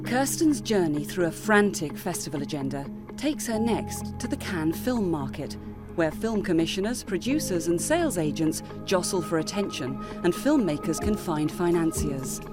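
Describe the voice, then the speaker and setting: A woman delivers scripted news-feature narration in a fairly low voice. Prominent music plays behind her measured storytelling. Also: animated.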